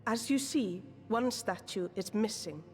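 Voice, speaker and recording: A woman's voice: mid-range voice, measured pace, darkish tone, soft background music, lightly acted. An actress voicing a composed female guide character in a game, explaining the way ahead.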